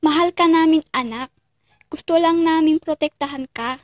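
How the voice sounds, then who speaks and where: A woman's voice: high voice, normal speed, lightly acted. A woman playing a worried, loving mother pleading with her son in a puppet show, with some background noise. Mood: tender.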